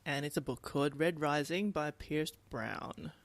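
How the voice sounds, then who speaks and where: A woman's voice: low-pitched, unhurried. A woman in her thirties co-hosting a podcast in casual chat. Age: 30s. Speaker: podcast co-host.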